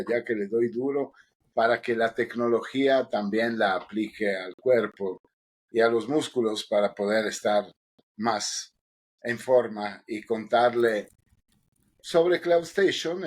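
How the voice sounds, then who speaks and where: A man's voice: medium pitch, breathy edge. A middle-aged man with an Italian accent, co-hosting a podcast in an easygoing, joking, rambling way. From Italy. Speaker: podcast co-host. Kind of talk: casual podcast chat.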